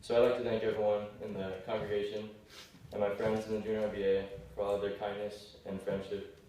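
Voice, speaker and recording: A young man with a slightly low voice gives a prepared thank-you speech as a graduating senior. He sounds far from the microphone in a very reverberant hall, with faint noise in the recording. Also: completely flat delivery, fast talker, mellow. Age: late teens, young adult. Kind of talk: prepared farewell speech to a congregation. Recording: very echoey hall, distant mic, faint noise.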